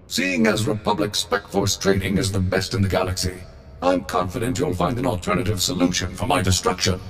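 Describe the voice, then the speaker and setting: Video game war droid: very low creaky voice, grandiose patriotic speech, music faintly behind. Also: male voice. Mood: earnest. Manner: formal, grandiose, verbose. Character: patriotic, honor-bound male war droid, loyal and self-reproaching.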